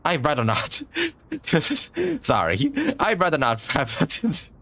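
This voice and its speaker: A man's voice: fairly high pitch, wildly swooping pitch, takes it slowly, gravelly, pushed and bright. A man live-streaming a game, chatting casually with viewers in a joking, musing way.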